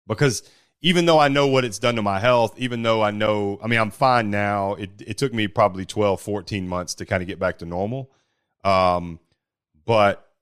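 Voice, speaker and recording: A man with a big, deep voice, talking bluntly about running a startup in a casual podcast conversation. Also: dry recording, studio quality. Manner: blunt and forceful, with mild swearing. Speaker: startup founder.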